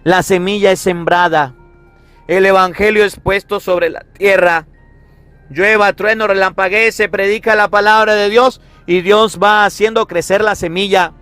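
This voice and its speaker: High-pitched male preacher in echoey room. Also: fairly even intonation, a little slow, a bit bright, clear, resonant tone, soft background music. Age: 30s. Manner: emphatic, repetitive, with rhetorical questions. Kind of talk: sermon on the Gospel.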